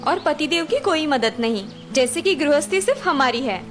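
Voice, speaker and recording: A woman's voice: sits high, expressive, moderate pace, faint music behind. A woman voicing a bold young village wife who talks brashly and urges her friend on. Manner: brash, encouraging.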